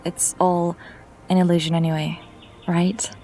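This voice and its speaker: A wry young mage heroine, voiced by a woman in a fantasy audio drama. She speaks slowly and deliberately, her nerves showing through a dry, self-mocking humor. Soft music plays faintly behind her. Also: on the low side, level tone, close to an everyday voice.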